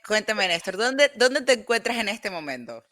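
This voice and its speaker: A woman with a Maracaibo Venezuelan accent hosting a podcast, asking brisk, prompting questions. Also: mid-range voice, very animated, bright, forceful tone. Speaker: podcast host. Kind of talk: podcast interviewing.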